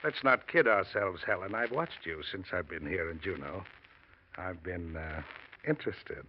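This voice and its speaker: An actor playing a scheming, smooth-talking business partner in a 1940s radio drama. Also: male voice, low-pitched, moderate pace, natural voice. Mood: insinuating. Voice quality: smooth, insinuating. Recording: dull old radio recording with faint hiss.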